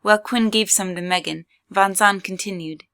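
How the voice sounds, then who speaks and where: A woman's voice: medium pitch, normal speed, a bit bright. A woman reading scripted third-person story narration as the narrator of an audio drama.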